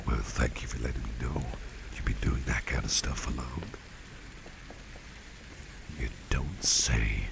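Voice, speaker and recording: Guy doing kindly posh-mannered boss for asmr, super low croaky half-whisper, steady ambient noise behind him. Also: heavily acted. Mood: curious, friendly. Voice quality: very deep, gravelly, breathy half-whisper. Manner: genteel, unhurried, repeats phrases for emphasis. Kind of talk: ASMR roleplay, soft-spoken personal attention.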